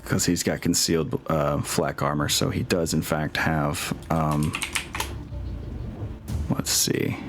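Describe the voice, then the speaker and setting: A man's voice: very deep voice, little pitch movement, slow pace, pauses often, a little hiss or hum. A man casually running a tabletop game as game master, thinking aloud and encouraging his players. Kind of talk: casual game refereeing chat. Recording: music playing behind the voice. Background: music.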